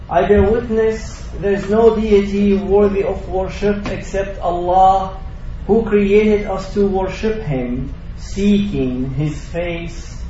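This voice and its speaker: A man's voice: high voice, darkish tone, slight background noise. A man giving a Friday sermon in a mosque, preaching with rising emphasis and mixing in Arabic recitation. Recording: distant echoey mosque recording, dull.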